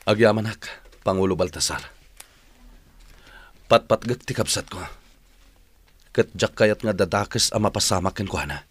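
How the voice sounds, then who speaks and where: Deep-voiced, earnest young fighter. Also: male voice, moderate pace, pauses often, a bit bright, breathy edge. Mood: earnest, concerned. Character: devoted, bashful young male fighter worried for his brother. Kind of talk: Ilocano radio drama acting.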